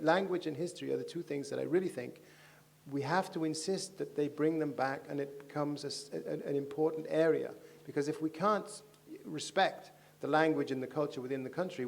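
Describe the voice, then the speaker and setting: Brief: man, panel novelist, thoughtful, restarts often, gravelly. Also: fairly high pitch. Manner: thoughtful, halting, with many restarts. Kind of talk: panel discussion. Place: large festival stage.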